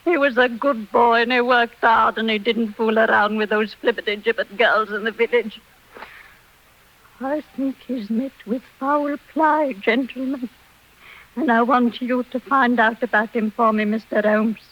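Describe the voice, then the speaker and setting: A woman's voice: a little high, steady tone, unhurried. An actress playing a poor, humble old village seamstress worried for her son, in 1940s radio style. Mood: anxious, pleading. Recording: dull 1940s radio recording.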